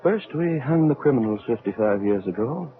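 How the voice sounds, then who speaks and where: An older male actor playing a remorseful, weary atomic scientist in an old-time radio drama, speaking in a solemn, halting, oratorical way. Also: mid-range voice, lively intonation, lightly acted. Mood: mournful, reflective. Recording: tinny, band-limited old radio recording.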